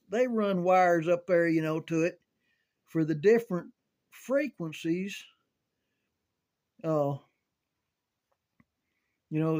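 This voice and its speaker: An older man telling casual, rambling recollections in a halting, folksy way, as if thinking aloud. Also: high-pitched, a little mellow. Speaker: amateur storyteller reminiscing about CB radio.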